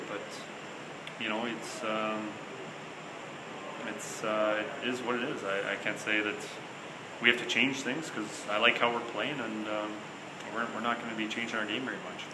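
A man in his thirties speaks in a fairly low voice, answering postgame questions as a hockey coach. He talks in a measured, hesitant way, pausing on fillers and restarting phrases. The small interview room echoes, and a steady air-conditioning hum sits clearly underneath. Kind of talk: postgame press conference. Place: small interview room before a sponsor backdrop.

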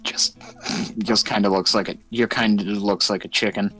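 A man's voice: fairly low pitch, steady tone, normal speed, pushed and bright, husky, faint music behind, rough recording. A man improvising casual banter in a Minecraft roleplay, grumbling and incredulous, often stuttering on his words. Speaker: roleplay player.

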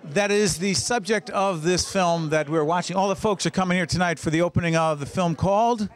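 A man's voice: high voice, fairly quick. A man hosting an on-location interview, asking probing, leading questions. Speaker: interviewer host. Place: outdoors at a festival event.

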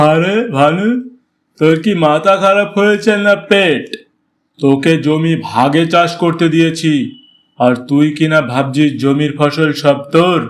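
A man's voice: fairly high pitch, slow. A man voicing a callous, blustering village landlord in a cartoon story. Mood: scornful, threatening. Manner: haughty, blustering. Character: callous, bullying village landlord, cowardly when scared.

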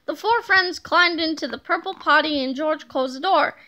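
Very high, bright woman reading aloud. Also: normal speed. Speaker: storybook reader. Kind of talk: children's book read-aloud.

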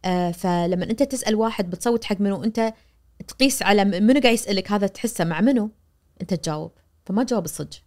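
Kuwaiti lady prof talking super fast, animated, studio podcast mic. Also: medium pitch. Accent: Kuwaiti Arabic. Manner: animated, gesturing, acts out quoted voices. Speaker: media professor, guest. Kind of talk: explanatory podcast conversation. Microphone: boom-arm studio mic.

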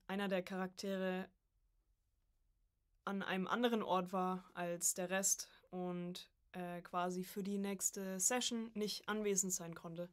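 A young woman chatting casually as a guest on a podcast, a student of voice acting. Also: on the low side.

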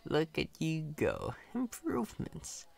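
A man's voice: on the high side, wildly swooping pitch, takes it slowly, gravelly, exaggerated voice. A man playing a chatty, cocky, friendly company employee in an ASMR roleplay, casual, reassuring and playfully boastful. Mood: easygoing, friendly.

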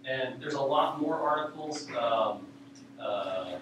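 A young man giving an informal academic presentation in a halting way, with frequent ums, speaking in a lecture hall. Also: medium pitch, lively intonation. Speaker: presenter of a research news roundup. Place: university lecture hall, indoors. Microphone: far camera microphone. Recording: echoey room, distant mic, clear background noise.

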